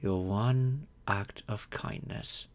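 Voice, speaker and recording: A man playing a wealthy, grateful vampire in an ASMR audio roleplay, speaking in a gentle, courteous and measured way. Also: fairly low pitch. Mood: solemn storytelling. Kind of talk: slow intimate ASMR roleplay monologue.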